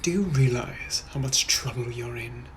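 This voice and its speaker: A man playing a menacing, possessive gentleman from the 1800s, speaking in a hushed, breathy, put-on voice. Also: mid-range voice, slight background noise, natural voice. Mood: threatening. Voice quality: hushed breathy whisper, drawn-out purring delivery. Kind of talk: POV comedy skit.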